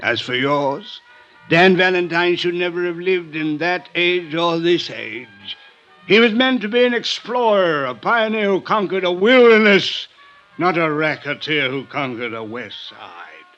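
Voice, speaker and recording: A boozy old cynic, flowery talk, really slow, bright edge to it, old radio show. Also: male voice, high-pitched, lively intonation. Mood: melancholy, weary. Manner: florid, theatrical, halting drunken speech. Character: drunken, world-weary, eloquent older man, cynical and melancholy. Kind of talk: 1950s radio drama acting. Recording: dull 1950s radio recording, music behind.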